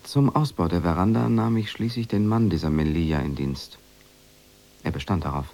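An actor playing a brooding, quietly guilty expatriate husband, narrating a radio drama in a measured, reflective, subdued way with a gravelly voice. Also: male voice, low-pitched.

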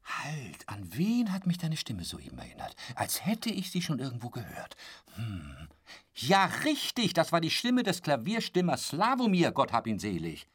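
An actor in a German radio drama playing a garrulous, boastful travelling salesman who rambles chummily and corrects himself, sometimes dropping to a breathy murmur. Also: on the high side, huge pitch swings, normal speed, fairly bright tone, full character voice. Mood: rambling, reminiscing. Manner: chatty, rambling storyteller with a pet polite phrase, correcting himself.

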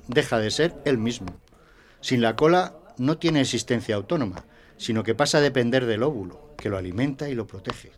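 A man's voice: medium pitch, natural voice. An actor playing a pompous, easily flustered male schoolteacher who lectures emphatically in an audio drama.

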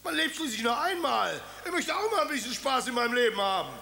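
A man's voice: extremely high pitch, lively intonation, fast talker, vocal fry, sharp tone, exaggerated voice. An actor in his fifties playing a vain, self-pitying tsar and father in a radio play, petulant and pompous. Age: 50s. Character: vain whiny tsar father, middle-aged man. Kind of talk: radio drama acting.

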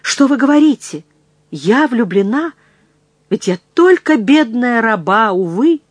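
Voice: medium pitch, sing-song, unhurried, slightly rough, no room sound. A narrator voicing a humble yet firm young enslaved woman, earnest and imploring, in an audiobook. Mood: distressed, humble. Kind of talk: dramatised audiobook reading.

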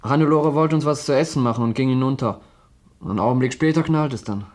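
Actor as a fast-talking, suspicious young householder. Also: male voice, mid-range voice, a little mellow, lightly acted. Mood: brisk. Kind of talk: radio crime drama acting.

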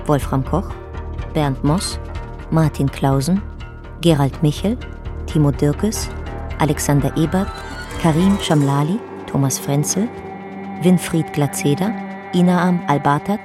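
A woman narrating a radio play in a clipped, matter-of-fact way, reading scripted lines. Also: sits low. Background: music.

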